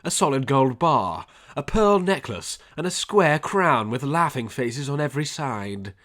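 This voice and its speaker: A man's voice: mid-range voice, big pitch swings, measured pace, a bit bright. A man reading a scripted adventure story as an audiobook narrator, with dramatic delivery.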